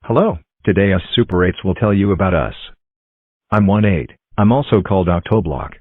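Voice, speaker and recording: Friendly little counting-block cartoon figures played by a man chirp through self-introductions in a low voice. The sound is thin and phone-like, dry and close. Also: fairly quick, dry recording. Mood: cheery. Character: cheerful talking number block characters. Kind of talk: fan-made cartoon voicing. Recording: tinny phone-like dry recording.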